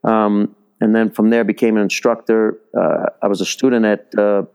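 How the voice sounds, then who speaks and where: Smooth-voiced man telling stories. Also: fairly low pitch, level tone, moderate pace, darkish tone. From Chicago, US. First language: Italian. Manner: rambling, many fillers and restarts. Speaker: baseball instructor, podcast guest. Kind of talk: casual interview storytelling.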